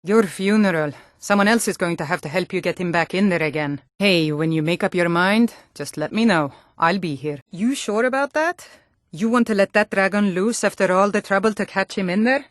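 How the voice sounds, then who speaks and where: A woman's voice: mid-range voice, normal speed, no room sound, clean studio sound, lightly acted. A woman playing a wary, sardonic Nord guard in a fantasy game, talking in a blunt and skeptical way. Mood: skeptical, grudging. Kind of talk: fantasy video game dialogue.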